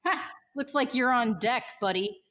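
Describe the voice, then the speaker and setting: A woman's voice: on the high side, a little slow, a bit bright. A woman playing a defiant, snarky rebel slicer in a tabletop game, with a blunt manner. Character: defiant, hard-drinking female rebel slicer, gruff and loyal.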